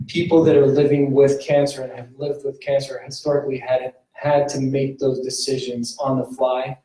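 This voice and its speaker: A man's voice: mid-range voice, mellow, far from the mic. A man who is a palliative medicine physician, speaking earnestly on a panel and repeating words as he searches for them. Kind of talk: panel answer. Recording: roomy hall from off mic.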